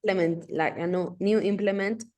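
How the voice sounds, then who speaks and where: A woman's voice: medium pitch, steady tone, normal speed, darkish tone. Woman student, hesitant, lots of likes and uhs, mid voice. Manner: hesitant, many fillers. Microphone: video call.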